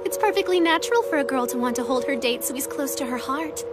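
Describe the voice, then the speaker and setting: A coquettish young anime girl with a dark streak. Her voice is extremely high, with teasing, dramatic, drawn-out phrasing typical of a dub. Prominent music runs underneath. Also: animated. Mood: coy, teasing.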